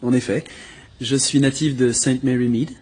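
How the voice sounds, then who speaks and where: An actor playing a courteous young police inspector in a dubbed drama, speaking warmly and respectfully. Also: male voice, medium pitch, steady tone, normal speed, breathy edge, lightly acted.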